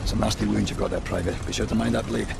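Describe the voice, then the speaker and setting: An actor playing a gruff, commanding army officer with a Scottish accent in a video game, blunt and barking. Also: male voice, on the low side, steady tone, very fast. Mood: stern, urgent. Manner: barking, blunt military briefing. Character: gruff, commanding, foul-mouthed male army officer rallying troops. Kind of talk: video game cutscene acting. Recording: loud music and battle sounds behind.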